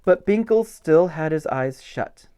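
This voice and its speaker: Slow-paced man reading a children's story. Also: a little high, clean tone. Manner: gentle, expressive, stretches key words for emphasis. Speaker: pastor reading a children's picture book aloud. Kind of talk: expressive storytime reading with simple asides to children.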